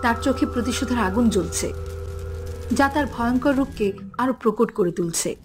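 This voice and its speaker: A woman's voice: a little high, animated, moderate pace, darkish tone, slight background noise. A woman giving scripted narration for a fairy-tale cartoon.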